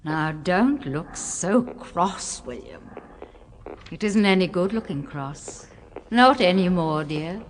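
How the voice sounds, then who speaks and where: An actress playing a coolly vengeful wife in a 1970s radio horror play, cooing condescending endearments with sweet cruelty on a noisy old recording. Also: female voice, lowish voice, lively intonation, slow pace. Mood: menacing, gloating.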